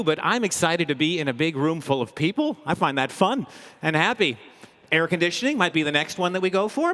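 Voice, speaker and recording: A man with a fairly high voice presents live on stage in a large, reverberant hall. He is an upbeat comedian host, energetic and playful. His delivery is humorous, full of tongue-in-cheek boasting. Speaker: comedian hosting a corporate event. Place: large indoor event hall, live audience. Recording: echoey big hall, long reverb.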